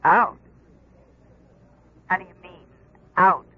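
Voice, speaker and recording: An actress playing a sour, sceptical convict's wife in a radio drama, sardonic and incredulous. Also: female voice, on the low side, takes it slowly, husky. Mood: sardonic surprise. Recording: dull telephone-filtered old radio sound.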